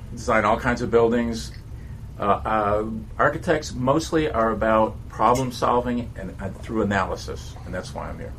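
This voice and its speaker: A man's voice: fairly low pitch, fairly bright tone. A man in his sixties, an architect, introducing himself in a casual meeting with many fillers. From Michigan. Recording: noisy meeting room audio.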